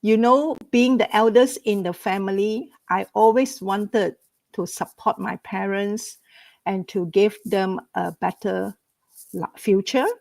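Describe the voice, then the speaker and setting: A woman from Malaysia, an entrepreneur and mentor, giving a slow personal talk in an online group. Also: mid-range voice, fairly even intonation, creaky, darkish tone, no echo.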